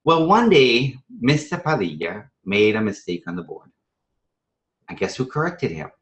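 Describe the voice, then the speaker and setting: An echoey room recording of a man presenting math teaching strategies to fellow teachers. His mid-pitched voice swings expressively up and down. He talks conversationally and with emphasis, posing rhetorical questions and checking in often with short tags. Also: sing-song, normal speed. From Trinidad and Venezuela. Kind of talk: live instructional presentation to teachers.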